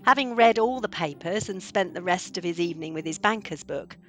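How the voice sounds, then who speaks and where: A woman's voice: fairly low pitch, expressive, a little fast, fairly bright tone. Woman narrating a story over music. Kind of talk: scripted storytelling narration for a radio play. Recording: music under the voice.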